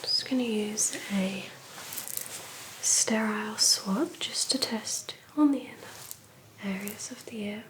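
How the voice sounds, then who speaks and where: A woman whispering gently and breathily in an ASMR medical roleplay, calm, reassuring and unhurried like a clinician. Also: mid-range voice. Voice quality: breathy, mostly unvoiced whisper. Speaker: ASMR performer playing a calm clinician doing an ear exam. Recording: lo-fi recording with noise and clicks.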